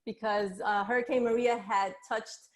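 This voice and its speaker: Female author-panelist, higher register, conversational and reflective. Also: steady tone, moderate pace.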